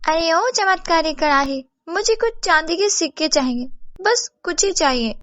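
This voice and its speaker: A scheming, envious young village woman from a moral tale. Her voice is piercingly high and drawn out, with gloating exclamations. The recording is close, dry and a little dull. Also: expressive, normal speed, a bit bright, dry recording. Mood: greedy, delighted. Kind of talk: melodramatic storybook acting.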